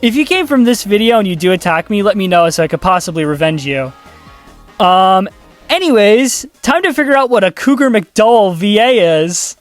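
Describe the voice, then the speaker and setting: Male YouTube commentator, high-ish pitch, sarcastic, rambling, profane, light music bed underneath. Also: animated, normal speed, no echo. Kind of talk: casual video commentary.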